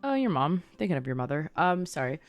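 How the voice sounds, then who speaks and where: Young woman streaming, lively swooping chatter. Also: deep voice, fast. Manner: bubbly, slangy, rambling asides. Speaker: streamer playing a game live. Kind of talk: casual livestream chat with viewers. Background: game music.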